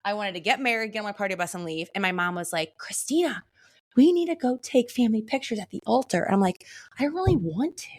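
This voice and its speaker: A woman co-hosting a podcast, chatting casually and telling stories in a loud, animated way as a wedding photographer. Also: mid-range voice, quick pace. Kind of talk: casual chat.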